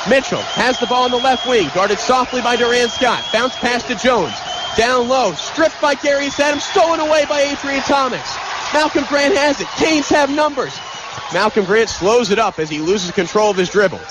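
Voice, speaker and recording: A man's voice: very high voice, moderate pace, a loud crowd. A man doing live play-by-play basketball commentary for radio, with a clipped delivery. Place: live from a college basketball arena. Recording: noisy arena radio broadcast.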